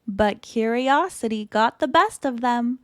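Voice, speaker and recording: A woman narrates a children's storybook with expressive care. Her voice sits fairly high and she takes her time over each phrase. The sound is dry and close. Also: a little slow, no room sound. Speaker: storytime reader. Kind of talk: read-aloud children's picture book narration.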